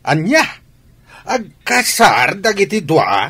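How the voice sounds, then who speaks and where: A man with an Ilocano accent playing a gruff, disapproving older father in a radio drama. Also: high-pitched, huge pitch swings, slow, sharp tone, full character voice. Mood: indignant.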